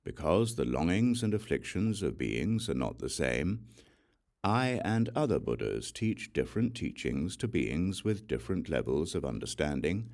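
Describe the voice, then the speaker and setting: A man with an extremely low, gravelly voice reads sacred text as an audiobook. His delivery is solemn and deliberate, and his pitch moves expressively through each measured phrase. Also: darkish tone. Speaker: audiobook reader. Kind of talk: solemn scripture narration.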